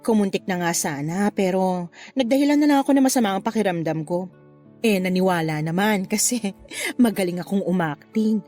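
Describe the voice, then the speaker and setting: A woman playing a flirtatious, unapologetic married mother in a Tagalog radio love drama, with a playful, expressive delivery. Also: medium pitch, a little fast, faint music behind, natural voice. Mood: flirty, playful. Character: flirtatious married mother having a secret affair, breezily unapologetic.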